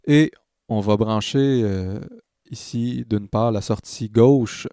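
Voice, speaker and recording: A man with a dark, soft-edged voice talks through a software tutorial in a dry, close recording. He takes his time, hesitating and thinking aloud as he shows each step, like a tutor working live at the screen. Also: lowish voice, slow pace, clean tone, no room sound.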